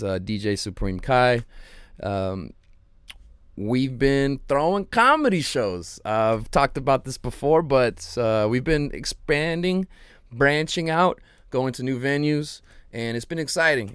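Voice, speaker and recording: A man hosting his own show, chatting casually and rambling with lots of ums and slang, his voice slightly hoarse. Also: medium pitch, no room sound, studio quality. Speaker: podcast host. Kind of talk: casual solo livestream chat.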